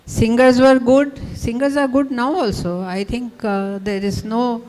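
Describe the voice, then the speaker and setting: Frank woman with a high voice. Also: steady tone, unhurried, darkish tone. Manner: frank, opinionated. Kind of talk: conversational remark. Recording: long hall reverb.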